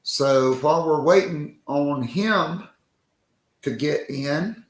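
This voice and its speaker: A hoarse man hosts a casual sports podcast, joking loosely as he talks. His voice sits a little high, and he takes his time with slow, drawn-out phrases. The sound bounces around an echoey room. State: hoarse, worn-out voice. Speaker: podcast host.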